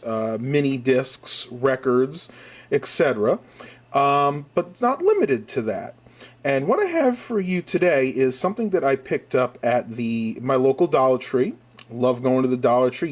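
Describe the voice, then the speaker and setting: A man's voice: mid-range voice, a little mellow. Male online video host, mid-range voice, casual review delivery, chatty step-by-step explanations. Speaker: YouTube channel host.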